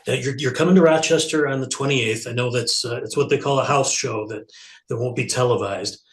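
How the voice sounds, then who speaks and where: A man's voice: mid-range voice, brisk. A man interviewing someone casually, feeling his way into each question and rephrasing as he goes, in an echoey room.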